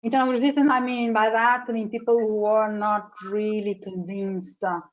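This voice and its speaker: A woman in a mid-pitched voice poses a question on a video call, pausing and searching for words. The sound is muffled and lo-fi. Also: level tone. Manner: searching for words, many fillers. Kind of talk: webinar Q&A. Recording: dull video call audio.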